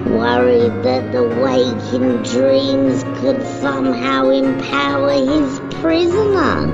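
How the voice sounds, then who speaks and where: A narrator reading a motion comic script in a solemn, measured, dramatic storytelling style. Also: mid-range voice, gravelly. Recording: dull lo-fi sound, music underneath.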